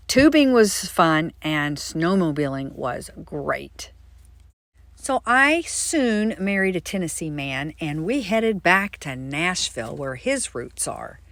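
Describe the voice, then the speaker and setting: A woman's voice: fairly low pitch, sing-song, slow pace, a bit bright, dry recording. Chatty older woman, dry close sound. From Florida. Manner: chatty, friendly, reminiscing. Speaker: doll craft vlogger. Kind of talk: casual personal storytelling.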